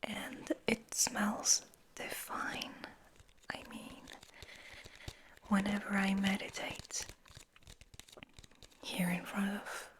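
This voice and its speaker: A woman whispering breathily in a slow, soothing guided ASMR style, lingering on her words. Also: medium pitch, level tone, rough recording. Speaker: ASMR host.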